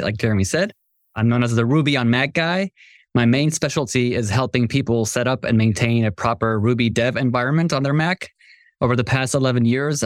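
A man from Morocco talking conversationally as a podcast guest, telling his own story as a software entrepreneur. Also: medium pitch, no room sound, clean studio sound.

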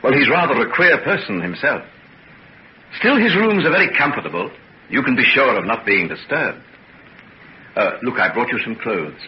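An actor playing a courteous, evasive gentleman doctor in an old-time radio serial, speaking politely and hesitantly with reassurance. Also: mid-range voice, sing-song, normal speed, long pauses, close to an everyday voice. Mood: reassuring. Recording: muffled, noisy old radio recording.

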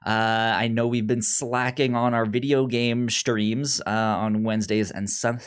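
A man's voice: mid-range voice, little pitch movement, a little slow, smooth. A man hosting a podcast in a casual, conversational way, often stammering and repeating syllables as he goes. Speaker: podcast host.

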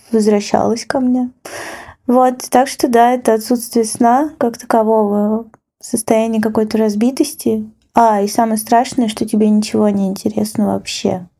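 A woman's voice: a little high, warm tone. A woman casually recounting a personal memory as a podcast guest, candid and colloquial. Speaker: guest editor telling a personal story. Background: background music.

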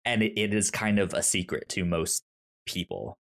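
A man hosting a podcast in casual conversation, halting and often stammering over repeated words. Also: sits low, fairly even intonation, a bit bright. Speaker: podcast host.

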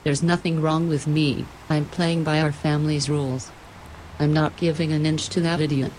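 A woman's voice: sits low, level tone, moderate pace, darkish tone, a little hiss or hum, close to an everyday voice, poor audio. A woman voicing a bossy, arrogant red-headed female ship captain who speaks curtly. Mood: commanding. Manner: commanding, curt.

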